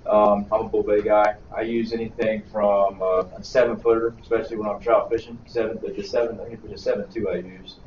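Low-voiced man on an echoey panel. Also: a little fast, hardly any pauses, slight background noise. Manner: relaxed, many fillers. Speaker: charter captain. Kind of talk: casual panel answer. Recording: echoey room, far from mic, dull.

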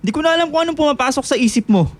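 Fast, scornful, exasperated young man. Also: very high-pitched, expressive. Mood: angry scorn. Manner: accusing, blunt. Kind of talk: Filipino radio drama acting.

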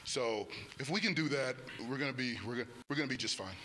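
A middle-aged man speaks as a basketball coach in a casual post-game interview. His voice is bright at a medium pitch, on a handheld mic with faint noise behind it. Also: fast talker, rough recording. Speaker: college basketball head coach. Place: indoors before a press backdrop. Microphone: handheld microphone.

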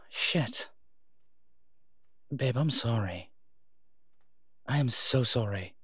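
Guy playing a worried loving boyfriend in an audio rp, earnest n halting, mid pitched male voice, no room sound. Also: a bit bright, slightly rough, dry recording, clean studio sound, full character voice. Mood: shocked, sympathetic. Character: worried, devoted human boyfriend of a werewolf. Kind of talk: intimate audio roleplay drama.

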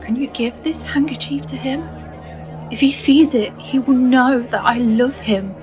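A woman's voice: sits high, unhurried, over music. A woman playing an anxious, loving mother in an audio drama, speaking in an earnest, pleading way. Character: anxious, loving mother longing for imprisoned husband.

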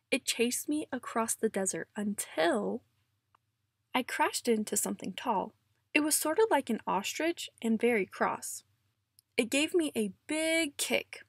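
A woman's voice: on the high side, expressive, unhurried, husky, no room sound, clean studio sound, exaggerated voice. A woman voicing an unflappable old grandfather telling tall tales in a storybook read-aloud. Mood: matter-of-fact.

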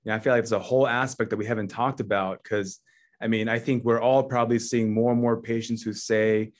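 A man in his thirties moderating a medical webinar over a video call, relaxed and full of "you know.". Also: on the low side, a little fast. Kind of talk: casual panel moderation. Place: office at home or work, indoors.

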